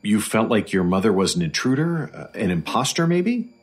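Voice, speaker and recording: A probing, low-voiced doctor. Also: male voice, big pitch swings, husky. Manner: measured, gently prodding, clinical. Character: probing, patient male doctor interviewing a witness. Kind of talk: audio drama acting.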